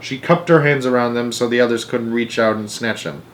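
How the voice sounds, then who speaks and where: A man reading a children's story aloud as an expressive narrator. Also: mid-range voice, fairly quick.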